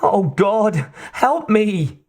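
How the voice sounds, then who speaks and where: A frantic young woman acted out by a male audiobook reader, pitched far up and screaming in desperation. She sounds trapped and terrified, pleading at the top of her range. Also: big pitch swings, drawn-out words, darkish tone, husky, heavily acted. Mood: despairing. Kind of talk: audiobook character voice.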